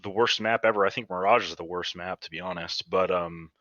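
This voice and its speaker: A man's voice: low voice, fairly even intonation, a little fast, sharp tone, no room sound, dull audio. A man co-hosting a podcast in casual chat, rambling and often trailing off. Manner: rambling, trails off into "I don't know". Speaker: podcast co-host.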